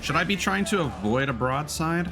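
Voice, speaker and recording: A man's voice: on the high side, big pitch swings, normal speed. A man giving casual live gameplay commentary, musing and thinking aloud in a hesitant way. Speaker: streamer playing a video game. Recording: stream with game noise behind. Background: video game battle sounds.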